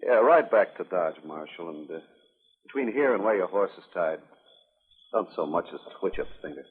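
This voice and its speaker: A hard, threatening Old West rancher speaks in a very low, husky voice. He is deliberate and menacing, in the manner of a 1950s radio western. The sound is narrow and lo-fi, like an old broadcast. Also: animated. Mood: stern warning. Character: hard, menacing rancher guarding his friend's claim. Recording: muffled, band-limited old radio broadcast.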